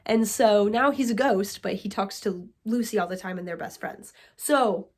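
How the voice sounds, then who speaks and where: A young woman chatting excitedly to camera as a book vlogger, sounding a little nasal from a cold. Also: mid-range voice, moderate pace. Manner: excited, chatty, talks to viewers as friends. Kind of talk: casual chat to camera.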